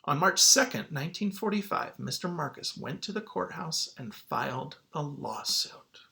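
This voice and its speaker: A man reading a children's book aloud as a teacher, with dramatic, emphatic phrasing and pauses. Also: fairly high pitch, animated, creaky, pushed and bright. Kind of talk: expressive children's read-aloud.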